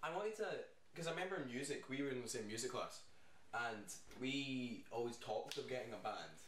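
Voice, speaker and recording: A young Scottish man in a band, chatting casually in a video Q&A, laid-back and trailing off softly. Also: medium pitch, animated, fairly quick. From Scotland. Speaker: band singer. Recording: echoey room, mic far off, faint noise.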